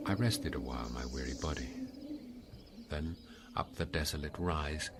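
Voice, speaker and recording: A man with a low voice recites epic verse as a dramatic narrator. His phrasing is solemn and deliberate. The recording carries very heavy background noise. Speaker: poetry reader. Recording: noisy, hissy background.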